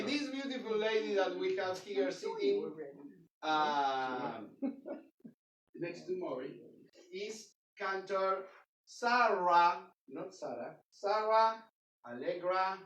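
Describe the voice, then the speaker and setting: A man with a non-native English accent leading a synagogue service, joking with the congregation in a halting, playful way. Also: high-pitched, a bit bright. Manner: halting, playful, self-interrupting, sings and chants. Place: synagogue sanctuary. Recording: roomy, distant mic, crowd audible.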